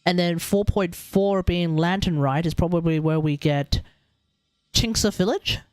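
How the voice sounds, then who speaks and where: A man's voice: sits high, a little slow, darkish tone, clear, resonant tone, no echo. An Australian man giving casual, rambling game commentary on a livestream, often talking to himself.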